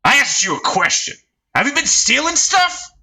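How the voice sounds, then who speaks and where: A man voicing a hot-tempered young male gang leader who shouts and snarls threats. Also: medium pitch, sing-song, normal speed, a little hiss or hum, voice pushed up, exaggerated voice. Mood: angry shouting.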